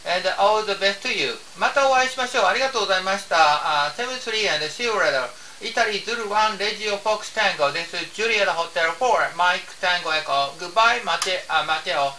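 A man from Japan with a Japanese accent, making a ham radio contact in halting, repetitive English and spelling out call signs. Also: high-pitched, animated, sharp tone, distant mic. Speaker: amateur radio operator. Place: small home radio room. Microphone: desk radio microphone, camera picking up the room. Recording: roomy, off-mic, with faint hiss.

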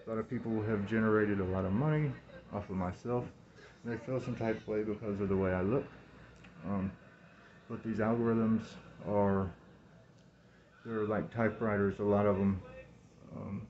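Low-voiced man, dull lo-fi phone recording. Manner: halting, repeats words and phrases. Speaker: vlogger talking to camera. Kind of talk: rambling personal monologue. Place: small room indoors. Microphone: phone at arm's length, speaking through cloth mask.

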